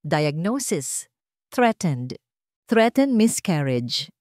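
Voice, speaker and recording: A woman with a Filipino accent voicing an anxious younger brother in a story, urgent and halting. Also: low voice, sing-song, darkish tone, no echo, studio quality, exaggerated voice. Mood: shaken. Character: anxious younger brother searching alongside his older brother. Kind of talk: dramatic storytelling.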